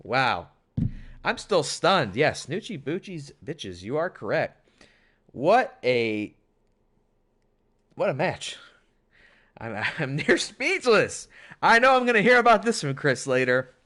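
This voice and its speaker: A hoarse man commentating a wrestling video game on a stream, with animated, chatty hype. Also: fairly high pitch, slow pace, pauses often. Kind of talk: play-by-play commentary.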